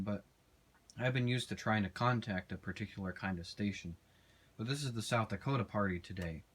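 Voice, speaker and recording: Low-voiced man, casual and hesitant. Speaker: amateur radio operator and video presenter. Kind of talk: casual unscripted walkthrough to camera.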